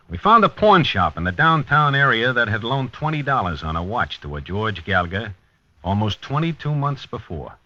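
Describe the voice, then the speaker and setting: Businesslike radio police detective, forceful. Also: male voice, lowish voice, full character voice. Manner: clipped, businesslike, probing. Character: methodical male police detective narrating and questioning a witness. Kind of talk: 1950s radio drama acting. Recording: dull lo-fi vintage radio broadcast.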